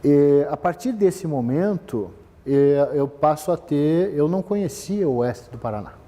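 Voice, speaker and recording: Mellow-voiced man in a hall. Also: fairly high pitch, animated, measured pace. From southern Brazil. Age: middle-aged. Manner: rambling, many fillers and restarts. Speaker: business manager giving a talk. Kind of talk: conversational talk, personal history.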